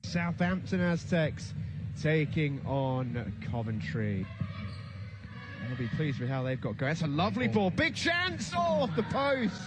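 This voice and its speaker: A man giving live play-by-play commentary on a futsal match, excitable and rising to shouts at the big chances. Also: on the high side, unhurried, noisy background.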